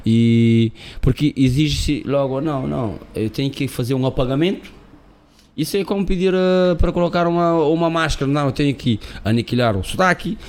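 A man's voice: mid-range voice, a little fast, a little mellow. A man from Cape Verde, a guest on an interview, who talks emphatically and repeats words while searching for them. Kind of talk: interview conversation.

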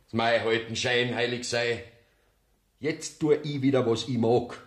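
An actor playing a defiant, embittered young convict with a Bavarian accent in a radio play, surly and stubborn. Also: male voice, mid-range voice, fairly even intonation, measured pace, reverberant, close to an everyday voice. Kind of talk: German radio play acting in dialect.

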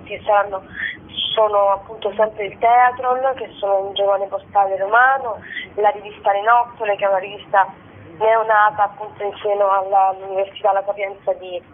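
A woman giving a spontaneous, enthusiastic radio interview as a festival co-director, wordy and halting, with repeated words. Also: mid-range voice, a little hiss or hum. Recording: tinny, narrow telephone line on radio.

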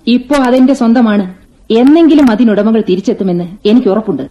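A woman's voice: on the high side, lively intonation, quick pace, warm tone, natural voice. A woman playing a lonely, resolute woman who guards the family house and mothers a young man, in a radio play. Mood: firm. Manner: firm, protective, motherly. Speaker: radio drama actor. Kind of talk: 1980s Indian radio play acting. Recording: dull old radio recording with faint music.

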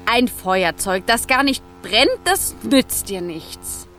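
Children's radio play: a tiny living flame, eager and coaxing, very high voice, unhurried. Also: female voice, big pitch swings, pushed and bright, faint music behind, full character voice. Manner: exclamatory, coaxing, wide-eyed. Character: small eager living flame, female, bold and yearning.

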